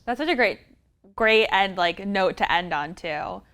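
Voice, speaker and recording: Woman host, affirming and encouraging, smooth clear voice. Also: medium pitch, lively intonation, a little slow, a bit bright. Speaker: interview host. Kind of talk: friendly interview hosting.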